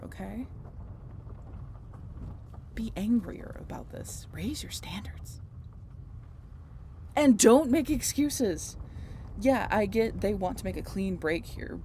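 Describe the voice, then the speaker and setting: A woman's voice: mid-range voice, expressive, slow pace, pauses often, mellow, a little hiss or hum. Woman acting a sardonic, teasing vampire woman. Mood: sardonic, indignant. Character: teasing, sarcastic, foul-mouthed female vampire travelling with a hunter. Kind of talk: scripted roleplay audio drama.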